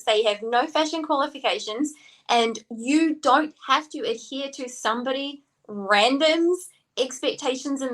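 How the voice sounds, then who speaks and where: A woman in her thirties with a New Zealand accent, a stylist co-hosting a podcast and chatting eagerly with many "like" fillers. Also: high voice. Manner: energetic, enthusiastic, lots of "like" fillers. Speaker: stylist and co-host. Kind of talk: casual podcast chat.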